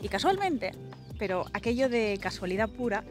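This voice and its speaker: A woman's voice: a little high, very animated, normal speed, over music. Chatty woman vlogging outdoors. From Spain. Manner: chatty, rambling, many asides and restarts. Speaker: vlogger and presenter. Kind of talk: casual vlog house tour. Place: outdoors in a country garden. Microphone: small camera mic held at arm's length.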